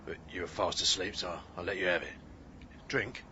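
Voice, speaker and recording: An actor playing a chummy, boozy tramp in a radio comedy. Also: male voice, low voice, moderate pace, bright, forceful tone, slight background noise. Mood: matey. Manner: chummy, chuckling, proprietorial. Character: matey, grinning, boozy male tramp. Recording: dull audio, faint outdoor ambience.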